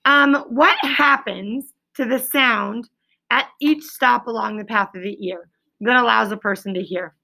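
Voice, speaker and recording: A woman's voice: fairly high pitch, a little slow, gravelly, sharp tone, low-quality recording. A woman teaching a class as a schoolteacher, explaining in simple phrases and prompting pupils with leading questions in an encouraging way.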